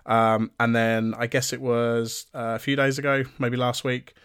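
Man, slightly hoarse, dry mic. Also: mid-range voice, a little slow, no room sound. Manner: relaxed and chatty, with frequent ums and restarts. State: losing his voice a little. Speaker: podcast co-host. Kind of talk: casual podcast chat.

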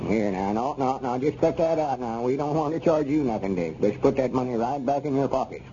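A man's voice: medium pitch, lively intonation, fairly quick, dark tone, natural voice. An actor playing a kindly older country man running a theater box office, with a rural Southern drawl and hesitant, homespun talk in a 1930s radio comedy serial. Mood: folksy, easygoing. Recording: dull, noisy 1930s radio recording.

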